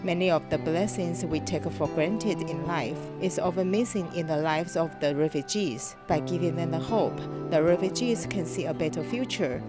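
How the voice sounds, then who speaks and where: A woman narrating a news feature in a measured, formal read. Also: fairly low pitch, over loud music. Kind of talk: scripted documentary narration.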